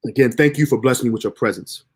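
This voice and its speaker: A man's voice: mid-range voice, fast, husky. Young male streamer, mid-range voice; casual, profane, self-motivating monologue. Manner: loose, slangy, motivational self-talk with profanity. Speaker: livestream host.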